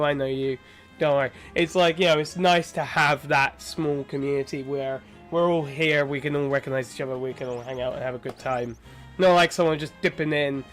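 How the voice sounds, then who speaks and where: A man's voice: a little high, a bit bright. A young man live-streaming a game from home, chatting casually and rambling while congested from a sinus infection. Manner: chatty, rambling, friendly with chat. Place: home room.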